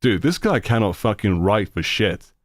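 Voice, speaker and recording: Deep-voiced Finnish man, blunt and rambling. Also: very animated, dry recording, clean studio sound. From Finland. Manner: rambling, halting with many fillers, blunt and profane. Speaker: streamer reacting to videos. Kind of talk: casual reaction commentary.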